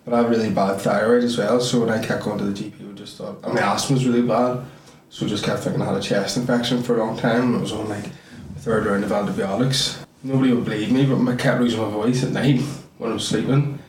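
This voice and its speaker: A man's voice: lowish voice, steady tone, fast talker, darkish tone, recorded from a distance, a little hiss or hum. A man, a podcast guest telling his story in an easygoing, laughing way. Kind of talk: casual chat. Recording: echoey room, off-mic.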